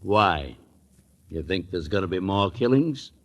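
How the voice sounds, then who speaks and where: An actor playing a gruff, curt police sergeant in 1940s radio style. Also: male voice, low-pitched, expressive, a little slow, a bit bright, highs cut off, heavily acted.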